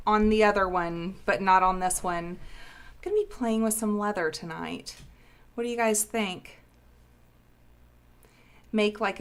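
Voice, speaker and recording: A woman's voice: medium pitch, fairly bright tone, clear, resonant tone. A woman hosting a casual craft live stream, bubbly and sniffly from a cold, greeting viewers by name.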